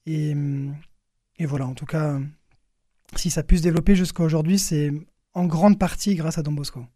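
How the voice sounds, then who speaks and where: Young man, higher-pitched mellow voice. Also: normal speed, dry recording, studio quality. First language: French. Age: young adult. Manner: hesitant phrasing, repeats words as he searches. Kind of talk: explanatory radio interview.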